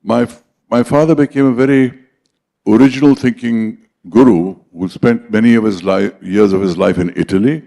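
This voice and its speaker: Older man, Indian English accent, mellow and dark-toned, a personal storyteller at a leadership conclave. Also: on the low side, fairly even intonation, moderate pace, gravelly. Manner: anecdotal, switches into Hindi for quoted dialogue. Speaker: conference speaker.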